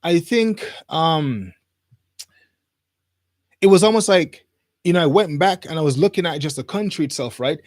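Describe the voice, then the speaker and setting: A man from Jamaica hosting a livestream, chatting informally to viewers in a rambling way and pausing as he goes. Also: a little high, animated, dry recording, clean studio sound. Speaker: livestream host. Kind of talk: casual livestream chat to viewers. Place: studio.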